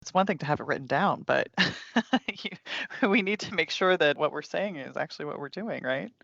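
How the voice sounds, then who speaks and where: A woman leads a panel discussion in a conversational, engaged way. Her voice sits at a middle pitch with a creaky edge. The sound is dry and a little muffled. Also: lively intonation, normal speed, a bit bright, dry recording. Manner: engaged, prompting others with questions. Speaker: podcast host.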